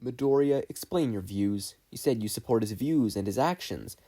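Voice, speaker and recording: A weary male teacher acted by a man in an audio story, drawling and deadpan. The tone is mellow and rough-edged. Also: medium pitch, moderate pace, close to an everyday voice. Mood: tired, deadpan.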